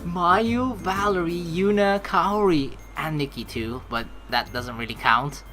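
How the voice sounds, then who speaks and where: A woman hosting a let's play, reading story narration aloud and reacting with animated, excitable commentary. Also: lowish voice, unhurried, faint music behind.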